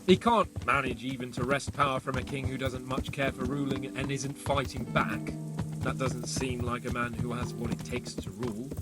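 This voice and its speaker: A man's voice: medium pitch, level tone, moderate pace, music in the background, lightly acted. An actor playing a cocky, worldly young medieval nobleman with a flippant, wry way of talking in video game dialogue. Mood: amused, gossipy.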